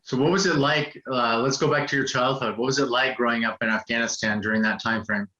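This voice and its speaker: Bright-voiced male host, roomy sound. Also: medium pitch, brisk. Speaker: interview host. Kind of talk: casual interview. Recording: roomy, slightly dull sound.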